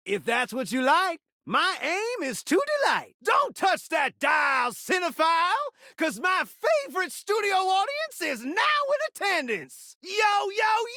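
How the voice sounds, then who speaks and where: A hyperactive game-show-slick television set come to life, flirting in brash rhymes. The voice is high and bright, swooping wildly in pitch like a video game cartoon showman. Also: gravelly, no room sound, clean studio sound, exaggerated voice. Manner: brash showman, rhyming catchphrases. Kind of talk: theatrical rhyming video game voice acting.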